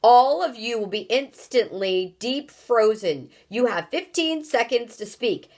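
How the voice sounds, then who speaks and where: A woman reading aloud, voicing an angry, urgent man who booms orders over a loudspeaker. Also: expressive, takes it slowly, heavily acted. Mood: threatening. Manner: commanding, urgent, shouting. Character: booming, angry male voice of space control.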